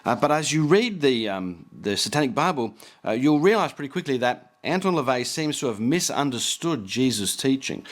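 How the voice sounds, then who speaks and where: A male preacher gives explanatory commentary on a text he reads out. His voice sits at an average pitch, and he sounds earnest and instructive. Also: big pitch swings, moderate pace.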